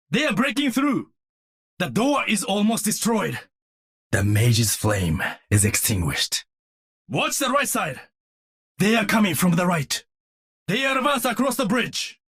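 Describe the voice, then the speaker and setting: A man playing a solemn, vigilant warrior defending a gate in a video game, with a husky voice and a formal, commanding, dramatic delivery. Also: very high voice, a bit bright, no room sound, clean studio sound, exaggerated voice. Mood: grave.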